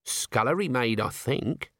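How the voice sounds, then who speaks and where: A man's voice: mid-range voice, animated, a little slow, fairly bright tone, dry recording, clean studio sound. A man voicing a scruffy small male corporal who moans and whines. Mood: woeful. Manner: moaning, whining.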